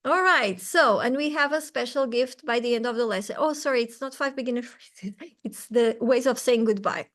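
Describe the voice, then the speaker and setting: A woman's voice: fairly high pitch, normal speed, a bit bright. Bubbly Portuguese-accented woman teacher. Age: 40s. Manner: bubbly, laughs often, chatty. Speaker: language teacher. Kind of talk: online webinar lesson.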